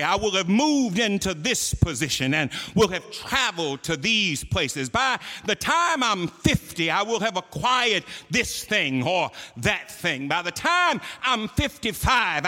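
A man's voice: high-pitched, sharp tone, in a large hall. A man preaching a sermon to his church, building emphasis through repeated phrases. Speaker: pastor.

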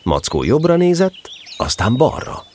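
A man with a husky voice narrating a scripted children's story for an audio drama. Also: mid-range voice, huge pitch swings, a little mellow, slight background noise.

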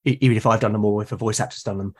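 A man's voice: fairly low pitch, fairly even intonation, rapid-fire, a little mellow, slightly rough. A British man speaking as a podcast guest, explaining things earnestly in casual conversation. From Britain.

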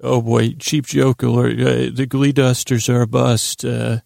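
A man with a creaky, gravelly voice recorded dry and close to the ear. He rambles through an improvised bedtime podcast, stopping and restarting his sentences, goofy and self-deprecating. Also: medium pitch, level tone, darkish tone, no room sound. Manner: meandering, halting, self-interrupting. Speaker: podcast host.

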